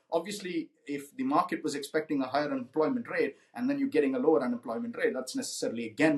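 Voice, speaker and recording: A man with a hoarse voice, a little higher than most men's, talks through economic data on his own podcast. He speaks conversationally, often stumbling over words and starting sentences again before pressing on. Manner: conversational, many restarts and fillers. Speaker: podcast host. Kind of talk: solo finance commentary. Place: indoors in a cold city.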